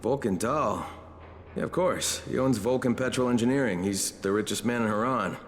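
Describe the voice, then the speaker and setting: An actor playing a weary, blunt but caring undercover agent in a video game, speaking naturalistically. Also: male voice, mid-range voice, moderate pace, a little hiss or hum, lightly acted. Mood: calm.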